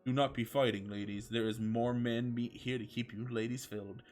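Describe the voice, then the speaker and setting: A man's voice: medium pitch, fairly even intonation, moderate pace, darkish tone, faint music behind, natural voice. A man voicing a brawny, cocky, righteous hero cat with stilted heroic bravado in a comic story reading. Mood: flirty.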